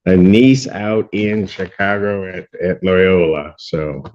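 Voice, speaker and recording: A middle-aged man presiding as a judge in a courtroom hearing, joking and teasing, then brisk and firm. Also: deep voice, a little mellow, dull audio.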